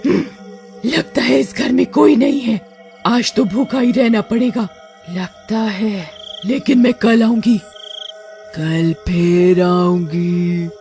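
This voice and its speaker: A woman's voice: medium pitch, steady tone, gravelly, mellow, faint music behind. Woman acting a menacing, hungry village witch. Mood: hungry, vowing. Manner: drawn-out, calling menacingly.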